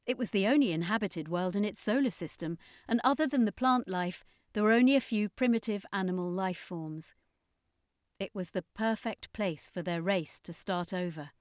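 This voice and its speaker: A woman's voice: mid-range voice, normal speed, dry recording, studio quality. A woman reading a scripted adventure story as an audiobook narrator.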